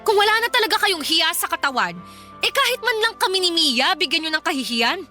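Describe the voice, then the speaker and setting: A woman acting an exasperated, sharp-tongued grown Filipino daughter in a radio drama, curt and reproachful. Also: extremely high pitch, sing-song, a little fast, full character voice. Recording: music bed behind voice.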